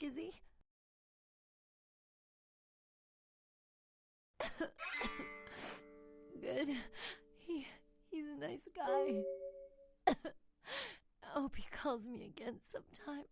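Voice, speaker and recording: An actress playing a feverish, weak call girl in a video game, coughing and speaking haltingly, with a sweet, wistful temper. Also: high voice, gravelly, no echo, clean studio sound, faint music behind, heavily acted. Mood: worried, wistful. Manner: halting, faltering, trailing off. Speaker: game character.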